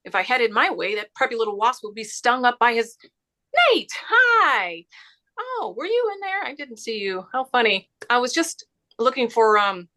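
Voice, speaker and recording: A woman playing a frazzled journalist, halting and awkward as she improvises, in a stage comedy read. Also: a little high, sing-song, sharp tone. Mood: panicked. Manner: flustered, halting.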